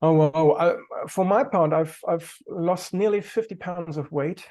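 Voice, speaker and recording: A man's voice: fairly high pitch, normal speed, a little mellow. A man with a German accent, a native German speaker, talking earnestly as a qigong teacher on a video call, sometimes searching for words.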